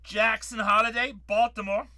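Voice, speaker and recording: A man's voice: sits high, flat delivery, normal speed, bright, forceful tone. A man in his forties hosting a live card-break stream, calling out cards and bantering with chat. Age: 40s.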